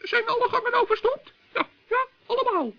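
Tiny bossy gnome, extremely high creaky voice, stammering, earnest, children's radio drama, dull vintage recording. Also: male voice, a little fast. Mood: determined, pondering. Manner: excitable, stammering, repeats himself. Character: little forest gnome, plucky, bossy and earnest. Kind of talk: 1960s children's radio play. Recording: muffled old radio play recording.

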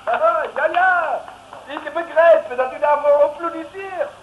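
An actor playing an affected, pompous fashion-show announcer in a 1960s radio serial, grand, drawn-out and ceremonious. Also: male voice, extremely high pitch, over music. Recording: dull, echoey old radio recording.

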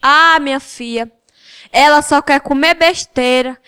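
A woman's voice: high voice, unhurried, sharp tone. A female amateur playing a chatty, gossipy schoolgirl friend in a radio soap, colloquial and exclamatory, with a northeastern Brazilian accent.

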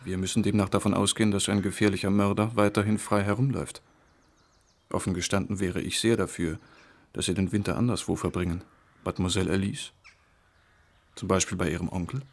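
A polite police commissioner in a radio crime play. His voice is fairly low yet youthful. He speaks in an earnest, measured and discreet way. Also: steady tone. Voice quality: young-sounding, pleasant timbre. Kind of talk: radio drama acting.

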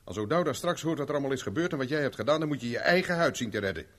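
An actor in a 1970s radio play playing a tough, blunt investigator with a curt, sardonic, informal manner. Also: male voice, medium pitch, animated, rapid-fire, close to an everyday voice. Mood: blunt, urgent. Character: tough, blunt, wry private investigator, adult man.

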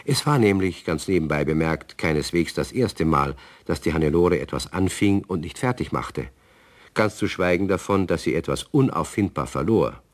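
A man's voice: low-pitched, expressive, normal speed. A man playing a wry, fatherly narrator of a children's radio play. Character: wry, fatherly male storyteller. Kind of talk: scripted children's story narration.

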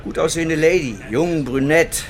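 A man's voice: a little high, normal speed, noisy background, close to an everyday voice. An actor playing a chatty, teasing male co-worker in a radio drama, talking in a familiar, bantering way. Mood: teasing, casual. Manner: colloquial, bantering. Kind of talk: German radio drama acting.

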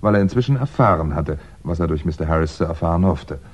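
Low-voiced, pensive amateur sleuth. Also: male voice, expressive, quick pace, husky. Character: calm male amateur sleuth, crime writer reasoning things out. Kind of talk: 1960s German radio crime drama acting. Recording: dull, muffled 1960s radio recording.

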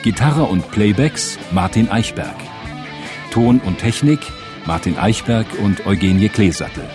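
A man announces radio drama credits from a script. His voice is deep. Guitar music plays clearly behind him. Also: unhurried.

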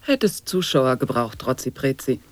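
An actor voicing a reciter of absurd nonsense wordplay with a husky voice, deliberately enunciated, in an avant-garde radio play. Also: male voice, medium pitch, sing-song, no room sound, natural voice. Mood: deliberate, declamatory.

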